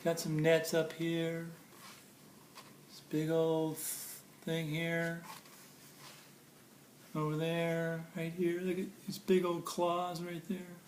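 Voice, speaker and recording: A man's voice: on the high side, completely flat delivery, slow pace, long pauses. A man reading a children's picture book aloud in a theatrical, playful way, with casual asides about the pictures. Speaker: storybook reader. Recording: roomy echo, faint background noise.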